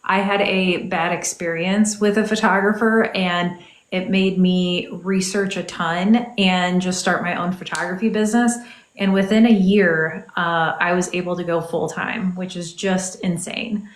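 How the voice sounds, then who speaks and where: A woman's voice: fairly low pitch, steady tone, a little slow, roomy sound. A woman political commentator talking casually on a video call, chatty, with frequent laughs. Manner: chatty, laughs often, many fillers. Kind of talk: casual chat.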